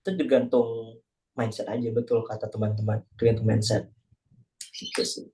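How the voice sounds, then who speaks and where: A man's voice: lowish voice, little pitch movement, normal speed, warm tone, a little hiss or hum. A young man with an Indonesian accent chatting in a casual online class discussion, joking and self-deprecating. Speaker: class participant. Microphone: video call.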